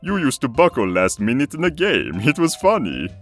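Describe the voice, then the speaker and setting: A man's voice: mid-range voice, wildly swooping pitch, moderate pace, soft background music. A man playing an easygoing, teasing middle-aged family man in a cartoon comic dub, talking casually and expressively.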